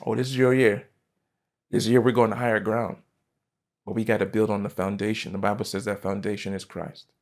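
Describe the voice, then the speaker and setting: Fervent gravelly-voiced pastor preaching. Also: male voice, lowish voice, flat delivery, brisk. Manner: fervent and emphatic, swinging from loud bursts to quiet asides. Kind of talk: sermon. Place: church stage, indoors. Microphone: headset mic.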